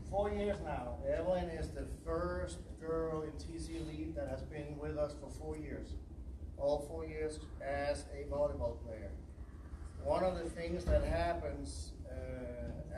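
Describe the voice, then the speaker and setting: Man, accented coach, earnest and rambling, slightly high voice, roomy distant sound. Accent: non-native English. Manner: earnest, emotional, rambling with fillers. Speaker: volleyball club coach. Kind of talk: speech at a signing ceremony. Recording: echoey room, far from mic, noisy.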